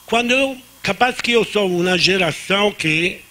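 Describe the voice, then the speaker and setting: A man's voice: high voice, lively intonation, normal speed, sharp tone. A man with an Italian accent, a native Italian speaker, giving unscripted remarks as a discussant on a conference panel, often restarting words and slipping into Spanish. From Italy.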